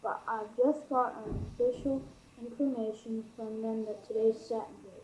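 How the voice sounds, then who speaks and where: A woman's voice: on the high side, steady tone, moderate pace, dark tone, close to an everyday voice. A young woman in an amateur radio play acting a folksy, friendly King who speaks Yorkshire dialect. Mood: folksy, regal. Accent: Yorkshire dialect, acted. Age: teenager. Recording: muffled amateur recording.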